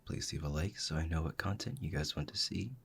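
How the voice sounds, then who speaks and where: A man's voice: extremely low pitch, brisk, creaky, fairly bright tone, husky. A man who creates ASMR roleplays, speaking to his viewers in a polite channel outro.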